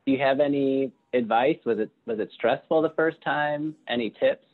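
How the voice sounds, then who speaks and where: Thin, phone-like call audio carries a man in his thirties. His pitch is medium, and he asks questions as an interviewer in an informal, encouraging way. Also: normal speed. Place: plain room indoors. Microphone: earbud headset on a video call.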